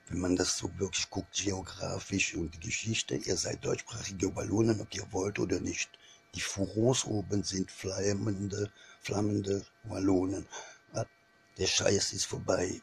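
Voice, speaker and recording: Gravelly, insistent man. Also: sits low, slight background noise. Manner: insistent, repetitive, mixes in French phrases. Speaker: vlogger. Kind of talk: opinionated monologue to camera.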